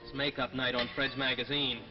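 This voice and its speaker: An actor playing a cocky, scheming young writer who talks in pushy slang in a 1950s drama. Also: male voice, mid-range voice, flat delivery, a little fast, close to an everyday voice. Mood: irritated, scheming. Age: young adult. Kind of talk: 1950s television drama acting. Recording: muffled 1950s broadcast, music behind.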